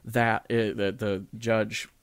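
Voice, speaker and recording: A man's voice: lowish voice, little pitch movement, slow pace, dry recording. A man co-hosting a podcast, chatting casually about things. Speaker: podcast co-host.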